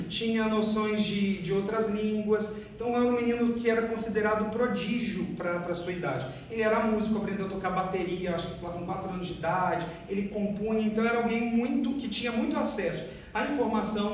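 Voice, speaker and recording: A Brazilian man giving an academic presentation as a researcher, explaining in a halting way with restarted phrases. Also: sits high. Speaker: researcher presenting a case study. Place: reverberant room, recorded from a distance. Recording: very echoey room, distant mic, faint noise.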